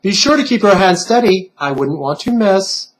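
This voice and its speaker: A man's voice: high-pitched, expressive, moderate pace, pitched above the performer's own voice. A man voicing a strict, menacing female teacher doll in a falsely sweet sing-song for a horror storybook reading.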